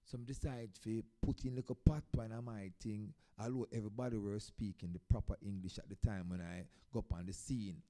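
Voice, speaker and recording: Very mellow-voiced Jamaican man on stage. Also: fairly low pitch, steady tone. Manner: speaks in Jamaican Patois, unhurried short phrases with emphatic swells. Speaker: dub poet performer introducing a live event. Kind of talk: informal stage talk, storytelling to an audience.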